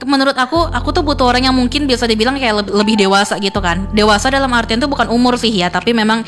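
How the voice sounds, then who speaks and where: A woman's voice: a little high, fast, fairly bright tone. Chatty young woman, light music behind. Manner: chatty, giggly, filler-heavy. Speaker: radio host. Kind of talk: casual radio chat.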